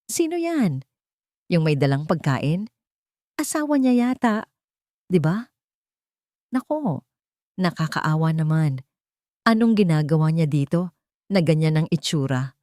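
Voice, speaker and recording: A woman's voice: sits low, sing-song, unhurried, pauses often, mellow, dry recording, clean studio sound. A woman voicing gossiping, pitying party guests in a story, with a nosy manner.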